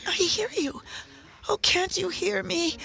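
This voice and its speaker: A woman acts a young bride-to-be in an old-time radio horror play. Her voice is high with a creaky edge, and she speaks in a dramatic, heartfelt, theatrical way. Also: steady tone, slow, sharp tone, full character voice. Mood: desperate, pleading. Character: young bride-to-be, playful, then desperate while presumed dead.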